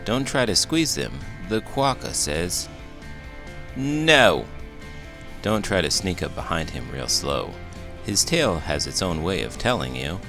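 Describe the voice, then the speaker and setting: A man's voice: low-pitched, big pitch swings, takes it slowly, music in the background, full character voice. A man voicing a cheeky, curious talking horse who reads a children's storybook aloud in a theatrical way. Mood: playful, teasing. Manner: theatrical, exaggerated.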